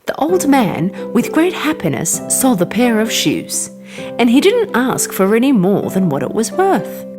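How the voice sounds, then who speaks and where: A woman reading a scripted bedtime story for children in an expressive, animated storytelling manner. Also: a little high, measured pace. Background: music.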